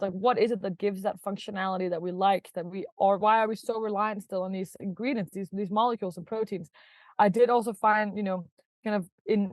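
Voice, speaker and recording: A woman's voice: medium pitch, a little mellow, dry recording. A woman from Denmark, a startup founder, chatting as a podcast guest, laughing often and filling her talk with "like" and "kind of". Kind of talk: casual podcast interview.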